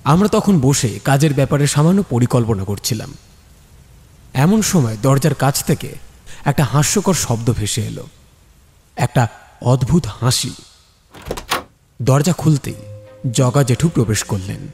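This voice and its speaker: A man's voice: medium pitch, expressive, unhurried, long pauses, a little mellow. A man giving dramatic scripted narration of a detective story. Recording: long reverb, music underneath.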